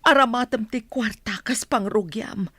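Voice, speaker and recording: A woman's voice: fairly high pitch, unhurried, a bit bright, no echo. An actress with an Ilocano accent playing a worried, patient, humble woman in a radio drama, speaking earnestly and pleadingly.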